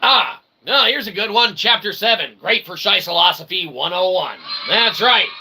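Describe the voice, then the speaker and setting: A man hosting a comedy show in a rambling, mock-earnest monologue, halting and trailing off between phrases. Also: sits high, expressive, bright, forceful tone, a little hiss or hum. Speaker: comedy show host and author.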